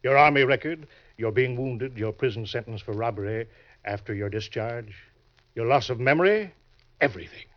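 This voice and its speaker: An actor playing a suave, genial older gentleman schemer, with smooth, courtly and pompous politeness, in 1940s radio drama style. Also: mid-range voice, animated, normal speed, close to an everyday voice. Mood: smug, menacing. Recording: muffled, noisy old-time radio recording.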